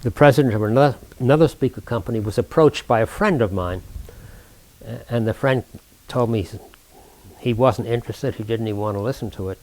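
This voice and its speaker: An older man recounting technical work for an oral history, precise and formal, speaking deliberately with halting pauses mid-sentence. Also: mid-range voice, darkish tone, low-quality recording. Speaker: inventor recounting his own work.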